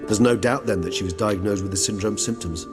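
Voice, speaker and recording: A man plays a learned older gentleman in a video game. His low voice moves in measured, eloquent phrases with courteous, wry wit. Music is clearly audible behind him. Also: lightly acted. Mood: intrigued.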